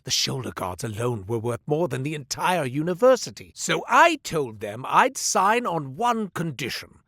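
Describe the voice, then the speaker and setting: Sly merchant storyteller in a game, lively swooping delivery, dry close studio sound. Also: male voice, on the high side, moderate pace, dry recording, exaggerated voice. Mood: salesy. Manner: theatrical, salesman patter, wry. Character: sly smooth-talking male merchant storyteller. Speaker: shopkeeper narrating a tale. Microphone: close studio mic.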